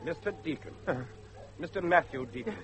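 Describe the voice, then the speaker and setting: A man's voice: on the high side, a little fast, background noise, natural voice. A man acting a polite, formal young grandson of a manor family in an old-fashioned radio drama. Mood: polite, solemn.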